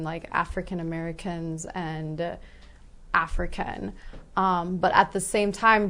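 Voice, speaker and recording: A woman's voice: fairly low pitch, fairly bright tone. A woman co-hosting a podcast, asking curious follow-up questions in a casual interview. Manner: curious, asks follow-up questions, encouraging backchannels.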